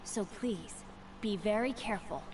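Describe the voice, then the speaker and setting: A woman voicing a bookish, courteous teenage boy scholar in a video game, articulate and measured. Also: medium pitch, background noise, close to an everyday voice. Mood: eager, explanatory. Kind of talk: video game character acting. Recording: game music behind.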